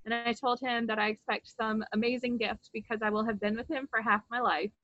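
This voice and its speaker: A woman in her thirties chatting casually with a co-host over a video call from home. Her voice is bright and a little high, with an easy laugh. The sound is dry and close, with the dull, lo-fi quality of a webcam. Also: flat delivery, brisk, no room sound. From New Hampshire and Virginia, US. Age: 30s. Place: home room.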